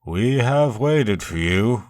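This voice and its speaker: A man voicing a stern, businesslike coroner in a storybook narration, speaking in a measured, formal, authoritative way. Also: medium pitch, expressive, a little mellow, no echo, voice pushed up.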